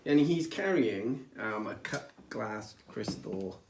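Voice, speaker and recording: Game master, man, lively narration, echoey room, sits back from the mic. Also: on the low side, slow pace, vocal fry. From south Wales. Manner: lively, chuckling, descriptive. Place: game store. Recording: roomy, distant mic, faint noise.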